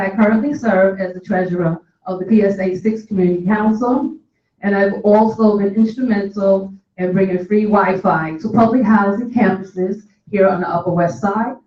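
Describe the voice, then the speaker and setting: Male civic candidate, formal public address, distant and muffled meeting-room sound. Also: high-pitched. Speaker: board officer candidate, educator and civic leader. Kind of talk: formal prepared campaign speech. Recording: echoey, distant, dull room recording.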